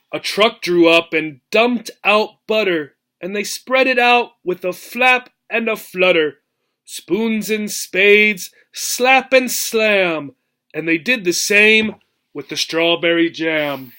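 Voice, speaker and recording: A man teacher reads a children's rhyming story in a high, bright voice. He goes slowly and expressively, explaining things simply and checking understanding with a short tag question. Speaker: teacher reading aloud to English learners.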